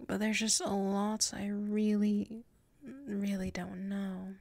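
A woman's voice: mid-range voice, monotone, takes it slowly, gravelly, darkish tone, dry recording. A woman playing a submissive yet proud tsundere werewolf in an intimate ASMR roleplay, murmuring hesitantly and often slipping into whispers. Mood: flustered, unsure. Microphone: close mic.

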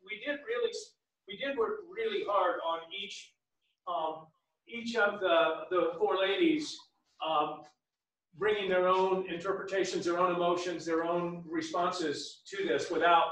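Male director, high bright voice, halting informal remarks, very reverberant stage sound. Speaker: play director. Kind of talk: informal remarks at a post-show talkback. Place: small theatre stage. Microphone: distant camera. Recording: echoey theatre stage from far off.

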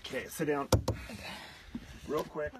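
A man's voice: a little high, steady tone, takes it slowly. A man working as a fishing guide, giving upbeat, joking instructions in casual chat on a small boat out on a lake. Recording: loud outdoor noise on a boat.

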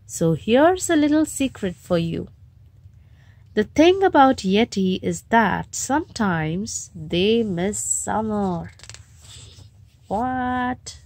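Slow-paced woman reading a children's storybook. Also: medium pitch, animated, a little mellow. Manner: asks the children questions while reading. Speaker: storybook reader and host of children's story time. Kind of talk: read-aloud picture book narration for young children. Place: home bedroom, indoors.

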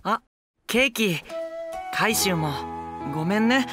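An actor playing a gentle, eager, boyish young lord, soft-spoken, polite and earnest, in an anime drama. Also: male voice, high-pitched, animated, takes it slowly, over music.

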